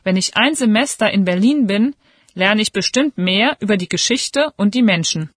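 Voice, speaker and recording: Woman reading as a young student, mid pitch, measured, dry. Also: lively intonation, slightly rough, dry recording. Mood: earnest. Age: young adult. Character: young Dutch female student, 21. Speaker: student speaking in a listening exercise. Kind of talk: scripted reading for a listening test.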